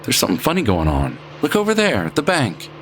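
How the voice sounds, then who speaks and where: An actor playing a chummy, folksy small-town cab driver in an old-time radio drama. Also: male voice, medium pitch, wildly swooping pitch, fast talker, a bit bright. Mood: curious. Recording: noisy car ambience behind voice.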